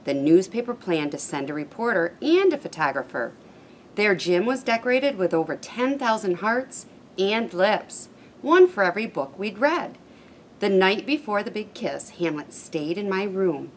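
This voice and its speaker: A woman's voice: mid-range voice, lively intonation, moderate pace, slight background noise. A woman on a school's staff reading a children's story aloud.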